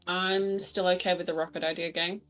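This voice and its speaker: A woman's voice: lowish voice, little pitch movement, a little fast, fairly bright tone, faint music behind. A woman chatting casually as a player in a tabletop game. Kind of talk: casual chat during a game.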